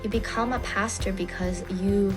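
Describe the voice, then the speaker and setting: A woman in her thirties from China telling a personal story as an interview guest, informal and halting, often saying "like". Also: medium pitch, fairly even intonation, over music. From China, partly United States. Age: 30s.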